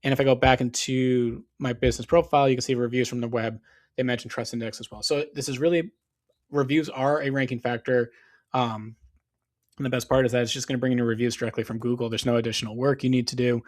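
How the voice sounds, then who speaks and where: Guy talking through a screen share, voice going a bit hoarse, keeps clearing his throat, laid-back and full of ums. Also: medium pitch, little pitch movement, fast talker. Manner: casual, explanatory, frequent fillers and restarts. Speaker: SEO business owner.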